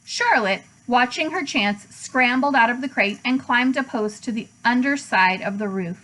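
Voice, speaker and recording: A woman reads a children's storybook aloud. Her voice sits a little high, and she narrates as a teacher does for young listeners. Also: animated, normal speed, bright, forceful tone, poor audio.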